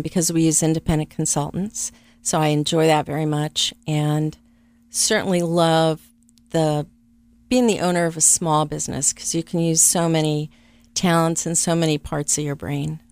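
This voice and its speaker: A middle-aged woman answering interview questions about her life and work, speaking softly and thoughtfully with frequent pauses. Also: low-pitched. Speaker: interview guest, travel business owner. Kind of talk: conversational interview.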